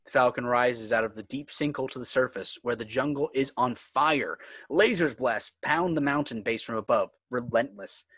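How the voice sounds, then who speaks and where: A man's voice: medium pitch, moderate pace, a bit bright, no room sound. A man reading screenplay stage directions as dramatic scripted narration.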